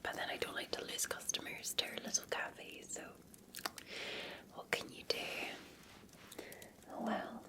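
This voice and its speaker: Female, Scottish, ASMR barista roleplay: breathy whisper, gentle, very unhurried. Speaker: ASMR performer playing a café barista. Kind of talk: soft-spoken ASMR roleplay.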